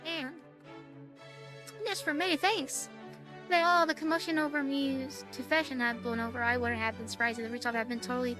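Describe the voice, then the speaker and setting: A woman reading a visual novel aloud as a game streamer, casually and haltingly, dropping in side comments of her own. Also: sits high, level tone, over music. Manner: halting, stumbling read-aloud.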